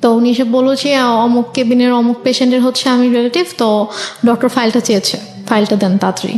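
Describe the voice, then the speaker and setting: A woman's voice: fairly high pitch, fairly even intonation, brisk, darkish tone, faint music behind. A woman with a Bangladeshi accent telling her own life story as a guest, conversationally, quoting what people said. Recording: echoey, reverberant room.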